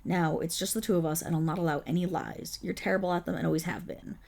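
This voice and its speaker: A woman's voice: on the low side, steady tone, fast, gravelly, a little mellow. A woman voicing a suave, scheming villain boss who talks in a silky, condescending way and purrs endearments, in an ASMR roleplay audio drama. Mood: menacing tenderness. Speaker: voice actor.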